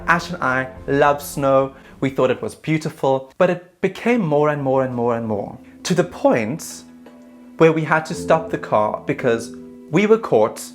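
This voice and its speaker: A man narrating his own travel story in a casual, self-mocking voice-over. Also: medium pitch.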